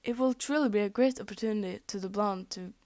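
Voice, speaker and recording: A woman's voice: medium pitch, fast, darkish tone, dry recording. A young woman whose first language is Norwegian, reading fan fiction aloud, often tripping over words and laughing at her slips. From Norway. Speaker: fanfic reader.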